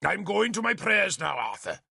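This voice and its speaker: A man reading an audiobook voices a fanatical, wrathful bishop who booms his denunciations like a preacher, in a gravelly voice. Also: lively intonation, moderate pace, bright, forceful tone, no room sound, clean studio sound, full character voice. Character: fanatical middle-aged male bishop, booming and wrathful.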